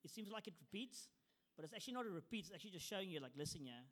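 A man's voice: high-pitched, lively intonation, very fast, fairly bright tone. A man giving conversational answers on a panel in an assured, plain-spoken way.

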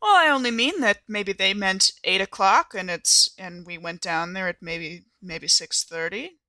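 Female tabletop player, bright forceful tone, wry improvised chat, dry studio-close sound. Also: medium pitch, unhurried, no room sound. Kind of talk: casual in-character improvised chat.

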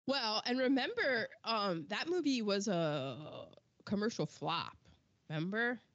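A woman's voice: medium pitch, expressive, fairly bright tone, no room sound. A woman co-hosts a podcast in easy, chatty talk, listing film credits and breaking off into asides. Her voice sits mid-height. The recording is dry and a bit dull. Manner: chatty, with false starts and quick asides. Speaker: podcast co-host. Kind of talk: casual chat reading film credits.

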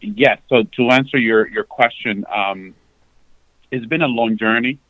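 A man in his forties from the Dominican Republic, a guest talking haltingly with many fillers and restarts. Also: medium pitch, no echo. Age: 40s. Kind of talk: candid conversational interview. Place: parked outside a sports venue. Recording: dull lo-fi remote call.

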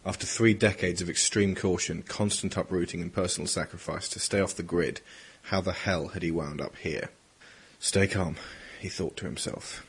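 A man with a husky voice reading dramatic scripted narration for an audio drama. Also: low voice, steady tone, normal speed, few pauses.

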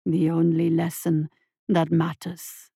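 A woman performs an ancient, hulking tortoise monster for a fantasy audiobook. The voice drops low into a rumbling growl. Each pronouncement comes slowly and deliberately, with cold, cruel authority. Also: monotone, vocal fry, dark tone, husky, dry recording, studio quality, heavily acted. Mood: cold menace. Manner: slow, deliberate, dismissive pronouncements.

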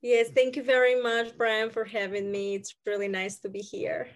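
A woman's voice: fairly high pitch, monotone, normal speed. A woman from Bulgaria, a podcast guest answering questions hesitantly, with many fillers. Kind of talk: casual interview talk.

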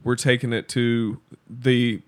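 A man's voice: medium pitch, little pitch movement, slow pace. A man in his thirties on a podcast, talking casually, bluntly and reflectively, and swearing freely.

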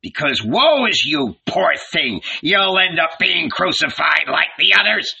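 A man voicing an irascible, scornful old village elder in an audiobook, shouting, sneering and taunting. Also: high voice, gravelly, husky, pitched above the performer's own voice, heavily acted, rough recording.

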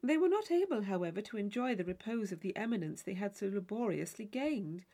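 A woman's voice: medium pitch, lively intonation, brisk, warm tone. A woman reading a classic novel aloud as scripted literary narration. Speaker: audiobook reader.

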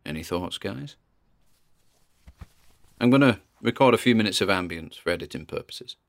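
A man playing an uneasy paranormal investigator in an audio drama, muttering hesitant observations onto tape as he explores, with a gravelly voice. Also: sits low, expressive, moderate pace. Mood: puzzled, matter-of-fact. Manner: hesitant, muttering observations with sighs and trailing asides. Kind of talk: audio drama found-recording monologue.

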